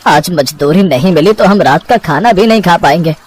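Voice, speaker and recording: A man's voice: fairly high pitch, lively intonation, moderate pace, husky. An actor voicing an earnest, worried poor labourer father who pleads and reassures in a cartoon story. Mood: determined, reassuring.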